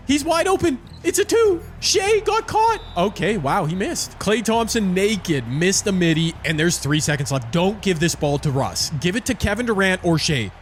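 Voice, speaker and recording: Excitable casual young-sounding man commentating. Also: slight background noise. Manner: energetic, casual, slangy, pitch jumps with excitement. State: losing his voice. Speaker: gaming YouTuber. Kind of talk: excited play-by-play commentary over a video game simulation.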